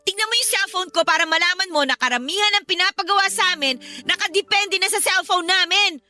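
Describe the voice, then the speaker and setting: A woman's voice: extremely high pitch, quick pace, pushed and bright, faint music behind, heavily acted. A woman playing a defiant, aggrieved teenage schoolgirl in a Tagalog radio drama, whiny and argumentative.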